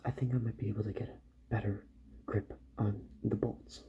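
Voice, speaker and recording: A soft-spoken man in an ASMR roleplay, murmuring unhurriedly to the listener as a repair doctor at work, often dropping toward a whisper. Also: on the low side, little pitch movement, normal speed, dull audio, a little hiss or hum.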